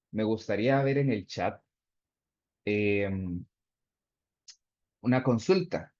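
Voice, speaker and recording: A man lecturing over a video call, patient and explanatory. His voice sits at an average pitch. The sound is dry, with no room echo, and slightly dull and lo-fi. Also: a little mellow. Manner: explanatory, reads chat answers aloud. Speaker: instructor. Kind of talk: online class lecture.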